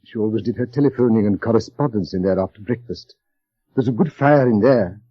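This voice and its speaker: An actor playing a deferential, kindly old butler in a 1930s radio drama, formal and courteous with a husky voice. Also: male voice, lowish voice, fairly quick, dry recording, close to an everyday voice. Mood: deferential, polite. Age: older. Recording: dull vintage radio broadcast.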